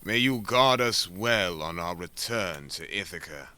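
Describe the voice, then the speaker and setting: A man acting a forceful, proud warrior king. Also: low-pitched, animated, a little slow, clean tone, dry recording, pitched below the performer's own voice, full character voice. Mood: reverent. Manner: declamatory, commanding. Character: proud, cunning Greek warrior king rallying his men. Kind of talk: epic audio drama acting.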